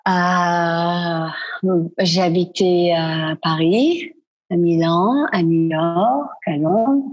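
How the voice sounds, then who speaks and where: A woman from Quebec answering interview questions casually and hesitantly, searching for words, with a husky voice. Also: on the low side, very slow, lo-fi sound. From Quebec, Canada. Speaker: guest, street artist. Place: at home in her dining room.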